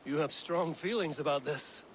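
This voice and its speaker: An actor playing a stoic Viking warrior leader in a video game, talking in a terse, dry and guarded way. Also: male voice, a little high, moderate pace, a little hiss or hum, natural voice. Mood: reserved, firm. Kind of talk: scripted dramatic game dialogue.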